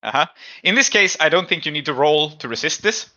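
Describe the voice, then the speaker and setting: A man's voice: a little high, expressive, a little fast, pushed and bright, highs cut off. A man acting as game master in a tabletop roleplaying game, explaining rulings and narrating in a conversational way.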